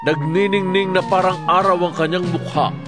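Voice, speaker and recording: A man's voice: high voice, steady tone, moderate pace, over loud music, lightly acted. A man playing an earnest prophet recounting his vision in a declamatory scripture narration for an audio drama. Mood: awed, solemn.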